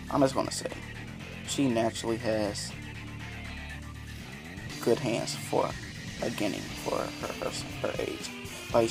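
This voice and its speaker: A man with a raspy, just-woken-up voice telling a fan story in a casual, rambling way. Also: fairly low pitch. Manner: rambling, laid-back, lots of fillers. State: just woke up, dry voice. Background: background music.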